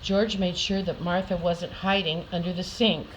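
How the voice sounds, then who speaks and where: An older woman reading a children's picture book aloud with deliberate, dramatic emphasis for young listeners. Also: fairly low pitch, fairly even intonation, a little hiss or hum, rough recording. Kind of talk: expressive children's story read-aloud.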